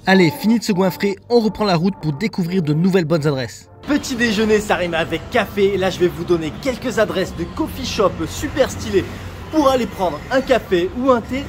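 A man's voice: high voice, expressive, a little fast, music in the background. A man in his thirties vlogging his travels, chatting playfully to viewers from the street and cafés with the camera at arm's length. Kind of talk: travel vlog narration.

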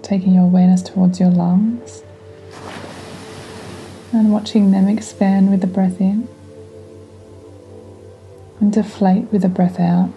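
A woman guiding a meditation in a gentle, soothing, unhurried way, speaking in calm instructions. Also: mid-range voice, highs cut off. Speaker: meditation guide. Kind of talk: guided meditation. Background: relaxing music.

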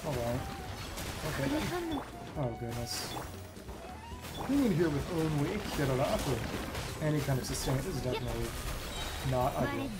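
A woman's voice: extremely low pitch, big pitch swings, normal speed, long pauses. A woman streamer giving casual live gameplay commentary, half muttering to herself with mild exclamations. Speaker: game streamer. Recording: loud game music behind voice. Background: loud game music.

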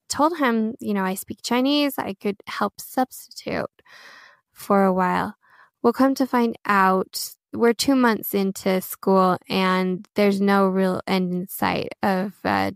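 A woman's voice: mid-range voice, creaky, clear, resonant tone, no room sound, studio quality. A woman with an American accent chatting casually as an interview guest, telling stories and quoting herself as she goes. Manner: chatty, self-quoting storytelling with frequent "like" and "you know". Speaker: interview guest, Chinese teacher.

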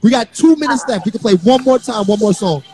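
A man's voice: sits high, animated, fast, music in the background. A middle-aged man, hosting a casual live-stream interview with joking banter, on a studio mic in a small home studio. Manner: joking, laid-back banter. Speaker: host. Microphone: handheld studio mic on a stand.

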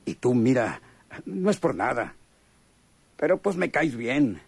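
A slow, husky, chummy Mexican convict. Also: male voice, mid-range voice, sing-song, a little mellow, no room sound, heavily acted. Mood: chummy, curious. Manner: slangy, hesitant drawl with knowing chuckles. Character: chummy, sly male convict, a hardened killer. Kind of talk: radio drama acting.

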